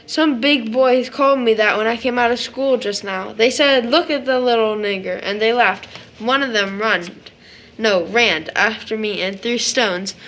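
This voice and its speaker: A woman's voice: a little high, measured pace, a bit bright. A woman playing a sensitive, trusting seven-year-old boy in an audio drama, with a childlike, questioning manner. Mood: hurt, earnest.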